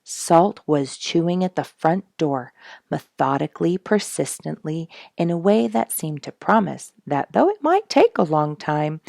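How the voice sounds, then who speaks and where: A woman reading a children's story as its storyteller, expressively and deliberately, with dramatic emphasis on key words and a creaky voice. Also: low-pitched, no room sound, clean studio sound. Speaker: storyteller and audiobook reader, program host.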